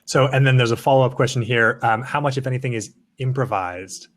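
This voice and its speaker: Male podcast host, middle pitch. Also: a little fast. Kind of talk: casual interviewing, relaying audience questions.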